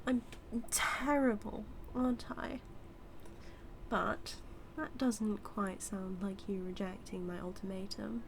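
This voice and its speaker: Woman to act British villainess for intimate audio drama; unhurried, smug, seductive teasing of a superhero rival, light ambient noise underneath. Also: medium pitch, fairly even intonation, vocal fry. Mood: smug, flirtatious. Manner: teasing, sly, flirtatious, drawn-out delivery. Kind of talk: intimate audio roleplay monologue.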